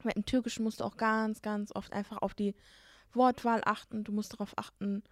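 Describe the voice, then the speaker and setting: A woman's voice: medium pitch, flat delivery, brisk, dry recording, clean studio sound. Young woman chatting casually. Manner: casual, filler-heavy, talks with gestures. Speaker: podcast co-host. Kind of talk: casual chat.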